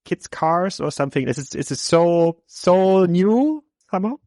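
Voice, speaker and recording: A man hosting an interview podcast, speaking English that is not his first language. He talks haltingly, often stopping to search for words. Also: sits high, animated, dark tone, dry recording, clean studio sound. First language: German. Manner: halting, many fillers and restarts, searching for words. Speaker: podcast host.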